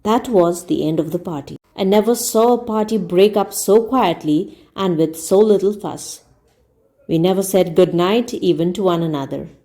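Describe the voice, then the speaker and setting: Mellow-voiced woman reading a story aloud. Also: on the low side, normal speed. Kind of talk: scripted audiobook narration of a comic novel.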